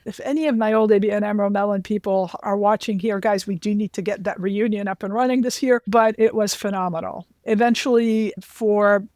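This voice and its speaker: A woman's voice: mid-range voice, steady tone, brisk, few pauses, darkish tone, no echo, clean studio sound. A woman in her sixties who grew up in Lebanon, telling career stories in an interview with self-deprecating asides. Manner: anecdotal, self-deprecating, with frequent asides to the host. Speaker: interview guest, finance executive. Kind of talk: candid career storytelling.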